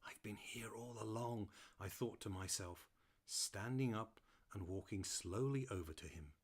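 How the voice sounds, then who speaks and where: A man voicing a playful, chatty terrier who tells his own story in an animated, mischievous manner, as audiobook narration. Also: lowish voice, a little slow, breathy edge. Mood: mischievous, fond. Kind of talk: first-person audiobook narration.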